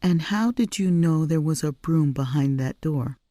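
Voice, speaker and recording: A woman's voice: sits low, measured pace, mellow, no room sound, clean studio sound, voice pushed up. A woman voicing a tough, protective female cat burglar with a curt, stern manner, in an audiobook story. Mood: persistent questioning.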